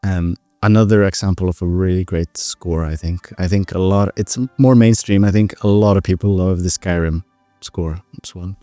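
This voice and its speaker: A man's voice: low-pitched, fairly even intonation, moderate pace, few pauses, dark tone, dry recording, faint music behind. A man in his twenties with an Icelandic accent, talking casually as an interview guest in a loose, enthusiastic, self-correcting way. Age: 20s. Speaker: podcast guest, composer and pianist.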